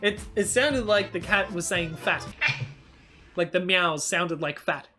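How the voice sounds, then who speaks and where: A woman's voice: fairly low pitch, unhurried, music in the background. A young woman chatting casually as she reacts to clips, rambling and restarting, breaking into surprised exclamations. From Australia.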